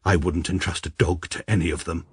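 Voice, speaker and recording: A low-voiced, pompous English barrister, voiced by a man, narrates in a formal, pedantic, deadpan manner. He sounds middle-aged and utterly certain of his own logic. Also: brisk, music in the background, natural voice. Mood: dry. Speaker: narrator and lead character. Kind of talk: radio drama narration.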